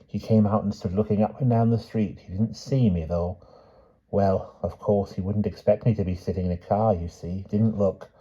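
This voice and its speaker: A man's voice: fairly low pitch, steady tone, normal speed, breathy edge. Man voicing a quavering, timid old aunt. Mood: mild, earnest. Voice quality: quavering, hushed. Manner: mild, simple, endearing old-fashioned address. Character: timid, frail, frightened old aunt, gently stubborn. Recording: dull, muffled audio.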